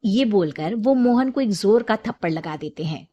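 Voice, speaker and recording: A woman's voice: medium pitch, expressive, normal speed, dark tone, highs cut off. A woman storyteller reading a scripted moral tale as narration.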